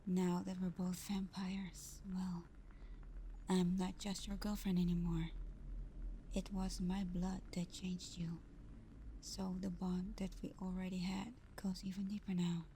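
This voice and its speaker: Woman doing a seductive vampire gf for asmr roleplay, real soft n breathy, super slow, mellow dark tone, close on the mic. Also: on the low side, little pitch movement, slight background noise. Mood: tender, seductive. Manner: soft, breathy, half-whispered, unhurried.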